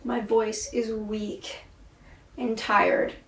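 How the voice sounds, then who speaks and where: A tired-sounding woman with a fairly high voice talks through a candid video diary. She is introspective and blunt, with frequent swearing and weary sighs, and her throat sounds sore. She sits well back from the mic in an echoey room. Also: flat delivery, extremely slow, vocal fry, recorded from a distance. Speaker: vlogger and singer. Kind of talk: rambling video diary monologue.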